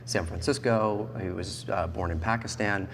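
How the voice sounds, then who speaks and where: Low-voiced American man in an echoey hall. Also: fairly even intonation, moderate pace. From central Pennsylvania, United States. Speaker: interview guest. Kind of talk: personal storytelling in a talk show interview. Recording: echoey hall, background noise.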